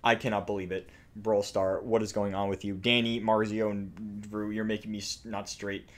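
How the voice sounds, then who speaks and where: A man's voice: on the low side, level tone, normal speed. A young man giving casual, exaggerated, jokey reaction commentary with plenty of swearing, indoors at home. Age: young adult. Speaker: video creator reacting to game voice lines. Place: small indoor room at home.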